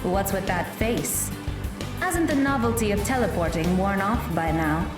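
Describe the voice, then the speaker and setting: An actress playing a blunt, aloof young magical mentor who talks in a dry, deadpan way in an audio drama. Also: female voice, mid-range voice, measured pace, natural voice. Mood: instructive. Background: background music.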